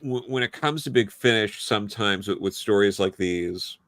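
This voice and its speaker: A man speaking as a podcast panelist, giving a casual, rambling and halting opinion in a review discussion. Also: fairly low pitch, fairly bright tone, no room sound. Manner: halting, rambling, hedging delivery.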